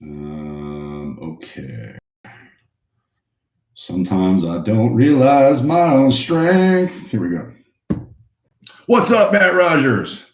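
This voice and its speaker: Low-voiced male host, hoarse, energetic motivational delivery, recorded in a reverberant home office. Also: drawn-out words, long pauses. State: hoarse, voice a bit shot. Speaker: real estate agent hosting a live cold-calling stream. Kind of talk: casual pep-talk livestream chat.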